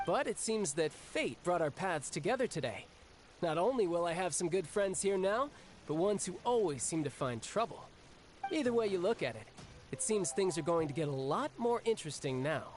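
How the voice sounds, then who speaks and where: A man's voice: high voice, animated, normal speed. An actor playing a cocky young male bank agent in a video game, smug, teasing and easygoing. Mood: friendly. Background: game music.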